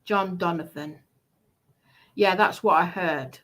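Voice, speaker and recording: A woman giving casual commentary in a halting, deliberate way, repeating phrases for emphasis. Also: lowish voice, a bit bright.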